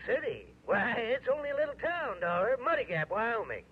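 An actor playing a folksy, anxious small-town newspaper editor with a drawl, in 1960s radio drama style. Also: male voice, extremely high pitch, sing-song, a little fast, breathy edge, full character voice. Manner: folksy, colloquial, hesitant drawl. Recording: dull old radio broadcast recording.

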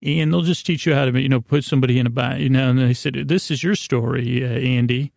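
Creaky, lulling man with a flat delivery. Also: medium pitch, no echo, studio quality. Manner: lulling, meandering, halting with many self-interruptions. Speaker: podcast host. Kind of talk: rambling bedtime monologue to help listeners sleep.

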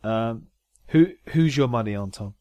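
A man's voice: mid-range voice, lively intonation, measured pace, darkish tone, no room sound. A man co-hosting a casual podcast about motor racing, joking and laughing often. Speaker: podcast co-host.

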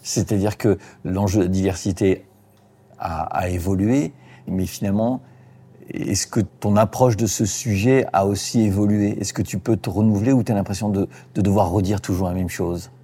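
Hôte de podcast, homme, timbre sombre et feutré, ton familier, relances brèves. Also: male voice, on the low side, fairly even intonation, normal speed, dark tone, husky. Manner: informal, lots of short backchannel agreement. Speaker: podcast host. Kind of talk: conversational interview.

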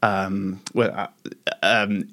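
A man's voice: low voice, level tone, extremely slow, pushed and bright, no room sound, clean studio sound. A man on a podcast panel speaking thoughtfully and hesitantly, often restarting his sentences. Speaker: podcast guest. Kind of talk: casual podcast chat.

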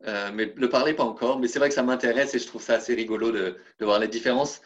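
A man's voice: medium pitch, steady tone, fast, dull audio. A man from France speaking in a casual interview, hesitant and full of restarts. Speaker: interview guest.

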